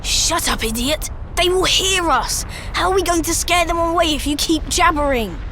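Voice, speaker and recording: A woman voicing a childish little game monster that poses as a fearsome beast, with a creaky voice and halting, blustering delivery. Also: expressive, a bit bright, a little hiss or hum, full character voice. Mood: squabbling, cross. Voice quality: creaky, high-pitched. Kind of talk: cartoonish video game voice acting.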